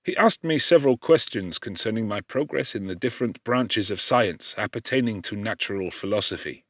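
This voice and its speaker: A man narrating a classic novel as an audiobook in a measured, formal and expressive way. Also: fairly low pitch, no echo, clean studio sound.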